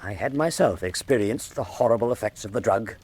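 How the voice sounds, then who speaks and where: A grave doctor narrating a mystery radio play, husky, super expressive intonation. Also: male voice, on the low side, a little fast. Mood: grave, ominous. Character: grave, shaken male doctor recounting a mystery. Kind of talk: dramatic first-person scripted narration.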